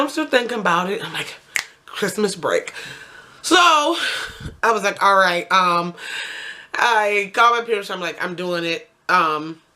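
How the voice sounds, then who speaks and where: A woman's voice: lowish voice, bright, forceful tone. A woman in her twenties casually telling a rambling personal story to her camera, correcting herself as she goes. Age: 20s. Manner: chatty, rambling, self-correcting with frequent fillers. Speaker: vlogger.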